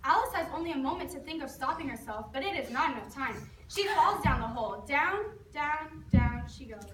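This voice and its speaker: A woman's voice: high-pitched, animated, brisk. A woman reading scripted narration in an amateur readers theater performance. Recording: echoey room, distant mic, clear noise.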